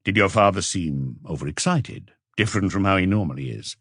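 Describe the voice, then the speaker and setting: A man voicing a calm, probing police inspector in an audiobook, with measured, terse questioning. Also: deep voice, no echo, clean studio sound.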